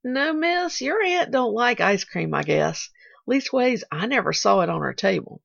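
A woman's voice: a little high, big pitch swings, a little slow, vocal fry, a bit bright, no room sound, dull audio, voice pushed up, heavily acted. A woman voicing a flustered, kindly hired girl in an audiobook, with stammering, folksy, uneducated speech. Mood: plain, folksy. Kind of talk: audiobook character voice.

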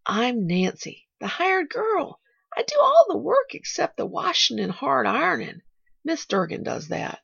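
A woman plays a kindly, flustered hired girl from a storybook. Her voice is high and swoops about, with a stammer and plain folksy grammar. The audio sounds dull. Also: huge pitch swings, unhurried, gravelly, fairly bright tone, husky, dry recording, exaggerated voice. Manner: stammering, folksy uneducated speech. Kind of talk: audiobook character voice.

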